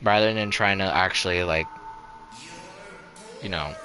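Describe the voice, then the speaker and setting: A man's voice: low-pitched, measured pace, bright, forceful tone, faint music behind. A young man streaming a game live, chatting casually in a rambling, resigned way, full of "like" and "you know" and restarted sentences.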